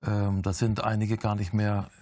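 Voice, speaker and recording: An older man with a low voice leads the interview in a measured, explanatory way, pausing with frequent ums. He sounds close and clean on a lapel mic in a television studio. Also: monotone, warm tone, no echo. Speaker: TV interview host.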